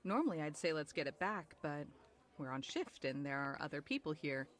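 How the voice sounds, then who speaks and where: A woman's voice: sits low, sing-song, normal speed. A woman playing a feisty young bar worker in a fan-dubbed visual novel, blunt and cheeky. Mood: irritated.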